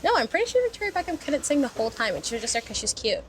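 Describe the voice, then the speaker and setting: A woman's voice: a little high, sing-song, very fast, crowd noise. A young woman chatting casually as a podcast guest, answering questions. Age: young adult. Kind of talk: casual chat.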